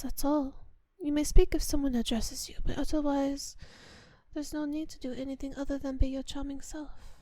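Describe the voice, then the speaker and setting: Woman playing a soft, high-voiced genteel vampire mistress. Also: dry recording, lightly acted. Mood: reassuring. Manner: soft, breathy, often whispered, unhurried endearments; refined, formal diction. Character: kind, genteel vampire mistress, doting and quietly commanding. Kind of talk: intimate ASMR roleplay addressed to the listener. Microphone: close microphone in a dry space.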